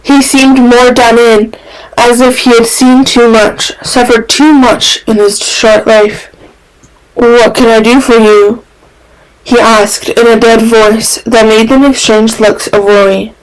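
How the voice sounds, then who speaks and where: A woman's voice: on the high side, fairly even intonation, a little slow, smooth, poor audio. A woman reading scripted fan fiction narration as a podfic narrator.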